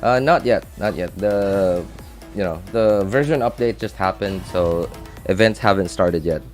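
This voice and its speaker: Male game streamer, lowish voice over music. Informal, unscripted, thinking out loud and repeating himself. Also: measured pace. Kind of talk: casual live-stream chat, answering viewers' game questions.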